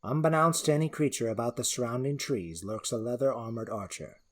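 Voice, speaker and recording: A man narrating a scripted fantasy tale in a theatrical manner with deliberate phrasing. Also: mid-range voice. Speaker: narrator and creator of the story world.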